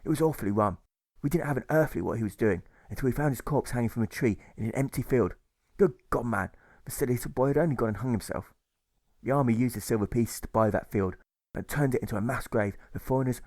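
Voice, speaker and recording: A man's voice: mid-range voice, quick pace, a little mellow, no room sound, close to an everyday voice. A man voicing a distressed, chummy soldier who talks in exclamatory, old-fashioned slang in an audiobook. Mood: dismayed.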